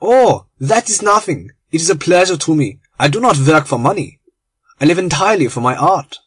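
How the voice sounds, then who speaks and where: A man's voice: a little high, sing-song, moderate pace, fairly bright tone, slightly rough, dry recording, voice pushed up, exaggerated voice. A man reading aloud, voicing a genial, proud little German bomb-maker in a storybook. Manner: cheerful, effusive, courteous.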